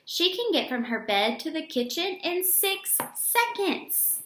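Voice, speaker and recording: A woman's voice: very high voice, very animated, slow pace, bright, forceful tone, slight background noise. A woman teacher reading a picture book aloud to young children, expressively and with simple explanatory asides. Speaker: elementary school teacher. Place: indoor room with echo. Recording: echoey room.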